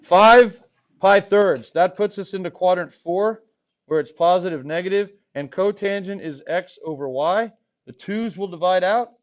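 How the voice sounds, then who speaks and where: A man in his forties from the Rio Grande Valley, teaching a high school math class in a playful, joking way, his voice a bit hoarse. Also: measured pace. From Texas Rio Grande Valley. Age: 40s. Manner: playful, jokey, talks to the class. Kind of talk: classroom lecture. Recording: dull lo-fi classroom recording.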